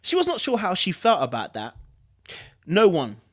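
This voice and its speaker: A man hosting a dramatic book reading, mixing narration with animated, chatty commentary and repeating phrases for emphasis. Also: sits high, fairly quick. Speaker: host reading a book aloud.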